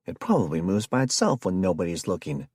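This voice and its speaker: A man voicing an excitable, geeky young man who rambles in a high, theatrical voice. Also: brisk, breathy edge, dry recording, studio quality, full character voice.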